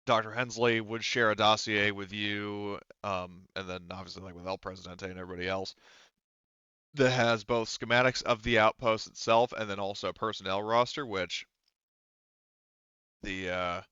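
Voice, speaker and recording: A man with a deep, bright, smooth voice runs a tabletop game as game master. He talks casually and haltingly, feeling his way through the details. The sound is close and dry, if slightly muffled. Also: fairly even intonation, dry recording. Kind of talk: narrating an online tabletop game.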